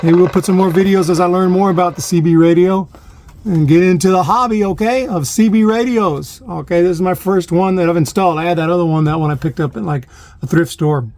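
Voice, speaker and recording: A man giving a casual hands-on demonstration, talking in a halting way as he thinks aloud, recorded on a phone inside a van. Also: high voice, darkish tone. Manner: halting, thinking aloud while handling equipment. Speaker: vlogger, amateur DIY installer. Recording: clear background noise, radio static and clicks. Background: CB radio static and knob clicks.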